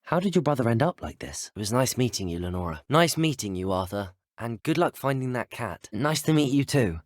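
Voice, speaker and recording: Lively, well-spoken young British schoolboy wizard, played by a man. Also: medium pitch, brisk, dry recording, clean studio sound, lightly acted. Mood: polite, assured. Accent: British English. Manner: courteous, well-spoken, gently wry. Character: polite, curious, self-assured teenage wizard student hero. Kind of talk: video game character voice acting.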